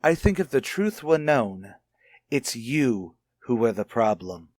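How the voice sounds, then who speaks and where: A man's voice: mid-range voice, very animated, slow pace, darkish tone, dry recording, studio quality. An actor playing a stern, stubborn husband and father in a radio soap, speaking formally and with sarcasm. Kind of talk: radio soap opera acting.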